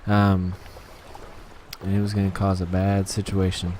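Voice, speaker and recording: A man with a slightly hoarse throat giving easygoing, casual commentary on his own gameplay and talking himself through each task. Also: low-pitched, completely flat delivery, unhurried, noisy background. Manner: easygoing, talks to himself while playing. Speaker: gaming channel host.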